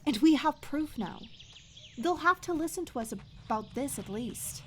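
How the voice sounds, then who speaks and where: Woman as a higher-pitched, defiant young heroine. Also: expressive, moderate pace, a little hiss or hum. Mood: eager, determined. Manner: wry, determined, casual. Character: defiant, sardonic blacksmith's daughter, stubborn young troublemaker. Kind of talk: fantasy audio roleplay acting.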